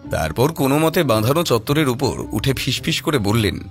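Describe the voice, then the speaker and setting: A man's voice: mid-range voice, expressive, brisk, soft background music. A man narrating a scripted horror audio story in a suspenseful, dramatic manner.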